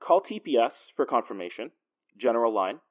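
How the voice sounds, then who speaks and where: A man plays a confident police detective who talks in dry wisecracks. His voice sits at an ordinary male pitch, with a teasing, natural audio drama delivery. The recording is a little dull. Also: sing-song, fairly quick. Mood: brisk, dry.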